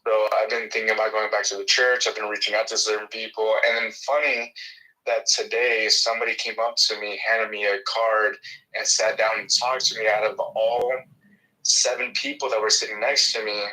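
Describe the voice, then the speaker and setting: A man's voice: on the low side, flat delivery, normal speed, pushed and bright, low-quality recording. A man from Mexico, a native Spanish speaker with a faint Mexican accent, chatting on a video call with friendly, stammering restarts. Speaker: video chat participant. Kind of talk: casual video chat.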